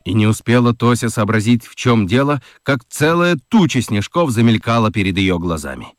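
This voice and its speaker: A man narrating a children's radio play as an expressive, dramatic storyteller. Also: lowish voice, moderate pace, no echo, studio quality. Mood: lively narrating.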